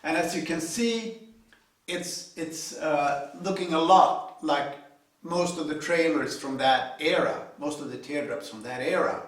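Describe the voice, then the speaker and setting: Male, 50s, Swedish accent, hobbyist video presenter. Slightly high voice, halting, deliberate delivery, recorded off-mic in a garage. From Sweden. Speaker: hobby builder presenting own video series. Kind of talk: informal explanatory talk to camera. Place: indoor home garage workshop. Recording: echoey garage, distant microphone.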